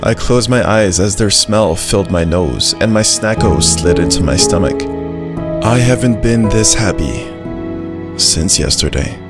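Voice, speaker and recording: A man's voice: lowish voice, expressive, a little slow. A man voicing a brave, loyal little dog who narrates his own story in a simple, earnest way. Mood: tired, content. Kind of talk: first-person scripted story narration. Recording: music behind the voice.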